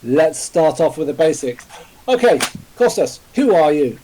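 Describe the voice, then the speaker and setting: Mellow-voiced man hosting a podcast. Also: on the high side, sing-song, unhurried, slight background noise, rough recording. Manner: polite, formal, filler-heavy. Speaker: podcast host, interviewer. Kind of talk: hosting a live podcast interview. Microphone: remote voice chat call.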